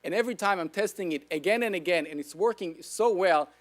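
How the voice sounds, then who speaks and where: A man's voice: high-pitched, fast, soft background music. Enthusiastic Israeli man lecturing. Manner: enthusiastic, asks the audience questions. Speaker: speaker. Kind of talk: lecture to an audience.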